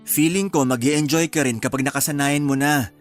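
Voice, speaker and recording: An actor playing an easygoing, doting young husband in a radio drama. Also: male voice, fairly high pitch, steady tone, normal speed, faint music behind, natural voice. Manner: easygoing, upbeat.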